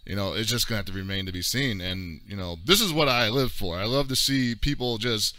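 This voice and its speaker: A man's voice: fairly low pitch, brisk, pushed and bright, no echo. A man hosting a casual interview, easygoing and amused, nudging his guest along. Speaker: podcast host interviewer.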